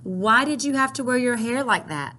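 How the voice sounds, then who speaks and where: A woman's voice: on the high side, expressive, normal speed, pushed and bright, slight background noise, voice pushed up. A woman voicing a curious young grandchild asking questions in a picture book. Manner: inquisitive.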